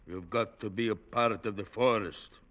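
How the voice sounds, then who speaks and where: A man's voice: on the low side, fairly even intonation, normal speed, fairly bright tone, natural voice. An actor playing a defiant, brooding young village man, blunt and intense, in 1940s radio drama style. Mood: quietly assured. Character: defiant, brooding young peasant son, haunted former prisoner. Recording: dull vintage radio recording.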